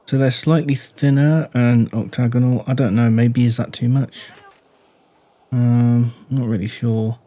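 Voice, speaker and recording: A man giving casual commentary on a game build, speaking deliberately low and quiet while he thinks aloud and asks the viewer questions. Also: little pitch movement. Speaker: gaming YouTuber.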